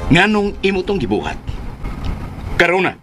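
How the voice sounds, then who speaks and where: An actor playing a proud, wounded, insistent suitor in a radio drama. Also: male voice, sits high, huge pitch swings, moderate pace. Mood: offended, insistent. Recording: music behind the voice.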